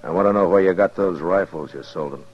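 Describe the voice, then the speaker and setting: A man's voice: sits low, fast, breathy edge. An American man playing a hard, unflinching Old West marshal, terse and commanding, in 1950s radio western style. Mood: stern. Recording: muffled 1950s radio recording.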